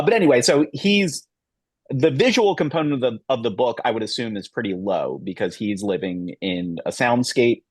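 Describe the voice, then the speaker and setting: A man's voice: mid-range voice, dry recording. Man moderator, hesitant and rambling, dry close laptop mic. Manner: rambling, hesitant, many fillers and restarts. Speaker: panel moderator. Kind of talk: video call panel discussion. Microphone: laptop mic on video call.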